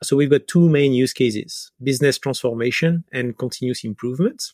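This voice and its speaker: A man's voice: medium pitch, brisk, darkish tone, dry recording, clean studio sound. A middle-aged man with a French accent giving explanatory answers as a guest in a conversational interview. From France. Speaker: podcast guest, business software advisor.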